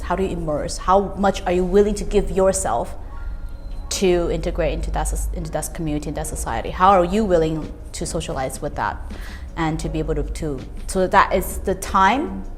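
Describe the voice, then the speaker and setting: A woman's voice: low-pitched, fairly quick, soft background music. A woman from Taiwan speaking earnestly on a panel, searching for words with many restarts. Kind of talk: reflective panel talk.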